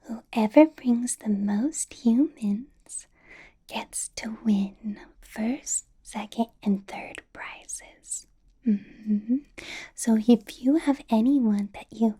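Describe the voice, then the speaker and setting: A woman playing a possessive, doting female vampire boss in a whispered ASMR roleplay, coaxing softly with a sweetly sinister edge. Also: on the high side. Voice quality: breathy, whispered. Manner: slow, coaxing, soft giggly delivery.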